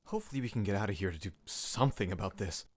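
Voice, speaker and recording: An actor playing a wry, long-suffering cleric in a fantasy audio drama, sardonic and exasperated. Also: male voice, lowish voice, expressive, rapid-fire, no echo. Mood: wry exasperation.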